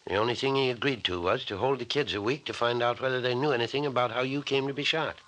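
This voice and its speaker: An actor with an American accent playing an excitable, nervous small-town doctor in an old-time radio mystery serial. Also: male voice, medium pitch, quick pace, lightly acted. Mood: confiding, suspicious. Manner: excitable, folksy exclamations. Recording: faintly noisy old-time radio recording.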